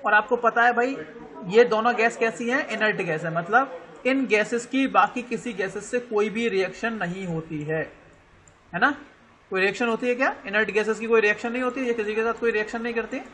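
Indian man teaching an online class, high bright voice, patient, spells terms out letter by letter. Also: rough recording. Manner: explains slowly, spells words out letter by letter, checks understanding often.

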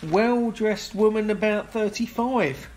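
A man's voice: high-pitched, takes it slowly, darkish tone. A man playing a chatty, cheeky travelling salesman on a train in a comic radio play. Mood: gossipy.

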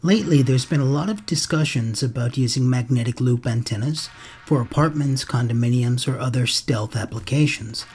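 A man's voice: mid-range voice, normal speed, a little mellow, husky. A man narrating a tutorial as the host of an amateur radio channel. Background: music.

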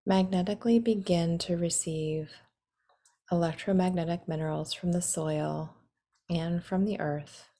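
A woman guides a meditation at a very slow, unhurried pace. Her voice is low and mellow, with soothing instructions that sometimes soften into breathy near-whispers. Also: steady tone.

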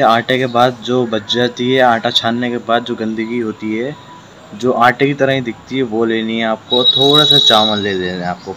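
Young male vlogger, mid-pitched, casual explainer talking straight to viewers, noisy outdoor phone recording. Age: young adult. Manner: instructional, addressing viewers directly. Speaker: vlogger keeping hens. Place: outdoor yard with plants and brick walls. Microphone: phone at arm's length. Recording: clear background noise, outdoor birdsong.

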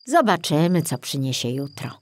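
A woman's voice: deep voice, big pitch swings, moderate pace. Woman playing weary, put-upon forester. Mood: tired. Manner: sighing, muttering, uncertain.

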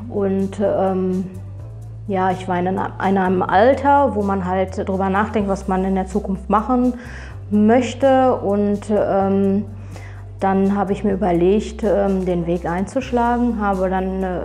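A woman in her thirties, a geriatric nurse, answering interview questions sincerely with many pauses and fillers. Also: mid-range voice, warm tone. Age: 30s. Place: indoors before a plain backdrop. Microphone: lapel mic.

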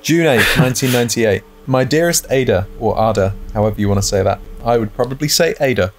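A man's voice: mid-range voice, animated, fast. A man co-hosting a let's-play, speaking in an informal, conversational way. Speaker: gaming show co-host. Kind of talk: casual let's-play chat.

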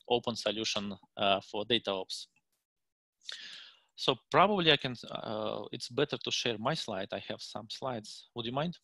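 A man's voice: medium pitch, sharp tone, no room sound. Russian-accented man on a video call. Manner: halting, many fillers and restarts. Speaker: guest speaker. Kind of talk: meetup presentation. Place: home room, indoors. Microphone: headset on video call.

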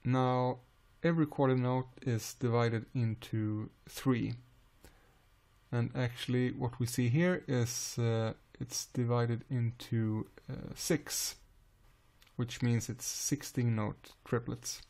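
A man with a Swedish accent calmly explaining music software in a screen-recorded tutorial, pausing often with "uh". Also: medium pitch. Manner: calm, unhurried explaining with frequent "uh" pauses.

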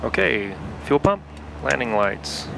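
A man's voice: mid-range voice, sing-song, slow, gravelly, noisy background. A man from Utah giving easygoing running commentary as he flies a home-built flight simulator, talking himself through cockpit checklists. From Salt Lake City, Utah. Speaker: home flight-sim pilot. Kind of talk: casual running commentary while flying.

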